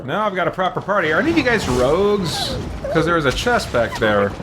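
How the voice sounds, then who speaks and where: A man's voice: high-pitched, huge pitch swings, a little fast, very noisy. A man hosting a let's play, commenting casually on his game and muttering informally to himself.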